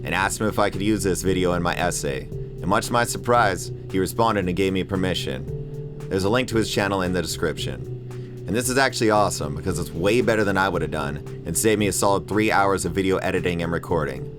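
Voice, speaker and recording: A man's voice: sits low, a little fast. A man narrating a scripted comedic video essay as its host, with an irreverent, sarcastic and crudely joking manner. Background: background music with guitar.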